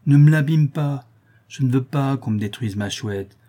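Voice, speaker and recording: A man's voice: medium pitch, expressive, moderate pace, mellow, husky, voice pushed up. A man voicing a mocking, gleeful young street boy who taunts and jeers like a theatre heckler in a storybook reading. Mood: bargaining.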